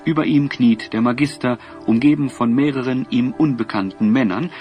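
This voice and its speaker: A man narrating a scripted children's audio drama in a measured, expressive storytelling manner. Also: medium pitch, faint music behind.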